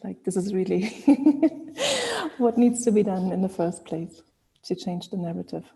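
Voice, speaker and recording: A woman speaking on an online panel, thoughtful and pausing often to search for words. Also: medium pitch, sing-song, vocal fry, warm tone, breathy edge. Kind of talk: panel discussion.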